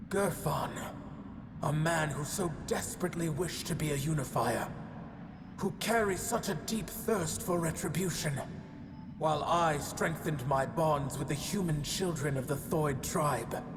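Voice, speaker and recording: An actress playing an ancient, solemn female giant in a game cutscene, stubborn and wise, speaking in measured, portentous phrases with cavernous reverb. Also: sits low. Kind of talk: dramatic game cutscene monologue. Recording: long cavernous reverb, noisy ambience.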